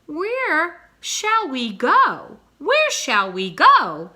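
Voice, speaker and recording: Lady doing an excitable little baby swan, high n bright, childlike, curious, kinda anxious, storybook voice. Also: drawn-out words, full character voice. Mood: eager. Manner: childlike, exclaiming questions. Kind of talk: children's storybook read-aloud.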